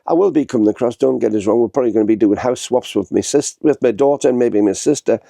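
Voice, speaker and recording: Bunged-up guy in his 60s, gravelly, rambling vlog chat into a little camera. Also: medium pitch, fast, warm tone, no room sound, clean studio sound. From northern England. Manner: rambling, chatty, many fillers and restarts. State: congested with a head cold. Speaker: vlogger. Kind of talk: casual talking-to-camera vlog. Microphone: small handheld camera.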